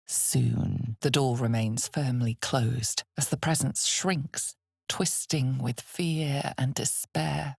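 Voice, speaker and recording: An actress voicing an omniscient, solemn and ominous narrator in a fantasy video game, measured and theatrical, with weighty pauses. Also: female voice, darkish tone, no echo, studio quality, full character voice. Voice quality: husky, breathy, low. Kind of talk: dramatic scripted second-person narration of a fantasy game.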